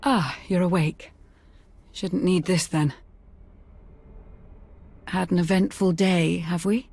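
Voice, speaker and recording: Composed sith advisor woman in a game, dry wit, low-ish husky voice. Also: steady tone, slow, darkish tone, heavily acted. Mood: wry, relieved. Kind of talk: video game cutscene acting.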